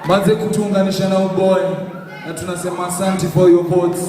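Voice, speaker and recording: A man, a music artist, giving a shouted acceptance speech from a distance in an echoey hall. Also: sits high, level tone, brisk, a crowd in the background. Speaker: award-winning music artist.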